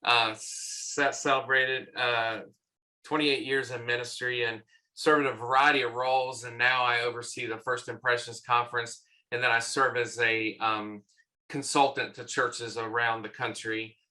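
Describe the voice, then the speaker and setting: Southern-accented middle-aged man, very bright tone, friendly rambling guest on a church podcast. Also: medium pitch, level tone, a little slow, rough recording. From American South. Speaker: church consultant and conference leader.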